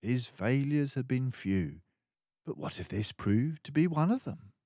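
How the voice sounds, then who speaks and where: An older man reading a children's story aloud as narrator, expressively, with measured, dramatic phrasing. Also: medium pitch, lively intonation, dark tone, no room sound.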